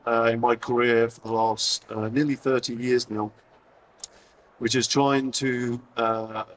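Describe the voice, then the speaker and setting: Hoarse middle-aged man, distant mic. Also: medium pitch, a little hiss or hum. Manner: informal, self-deprecating, lots of fillers and restarts. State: hoarse, losing his voice. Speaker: conference speaker. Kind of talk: live talk to an audience. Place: convention hall stage.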